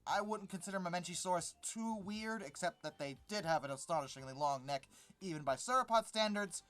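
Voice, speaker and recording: A YouTube host giving sardonic, exasperated reaction commentary while reading an article aloud, with halting, emphatic repetition. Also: medium pitch, expressive, a bit bright, soft background music.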